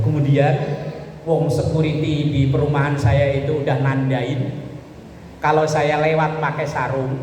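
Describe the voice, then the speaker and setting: A man's voice: a little high, darkish tone. A man with a Javanese Indonesian accent giving an informal Islamic sermon to a women's study group, conversational and colloquial, explaining things with repetition. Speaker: Islamic preacher. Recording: echoey reverberant hall, faint background noise.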